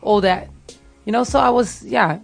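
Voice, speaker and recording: A woman with a Dutch accent and a gravelly edge, talking casually and hesitantly as an interview guest. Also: medium pitch, moderate pace, faint music behind. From Netherlands, grew up in Tilburg. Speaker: music artist, interview guest. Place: radio studio.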